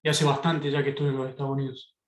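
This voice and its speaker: A man's voice: medium pitch, little pitch movement, fast. Man, middle-aged, Argentine accent, professor, composed, echoey room, video call. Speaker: professor, panelist.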